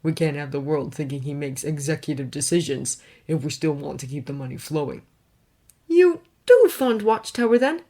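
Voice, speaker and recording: A woman voicing a stern, guarded wealthy man who talks in a clipped, hard, deadpan way. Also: sits low, moderate pace. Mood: weary.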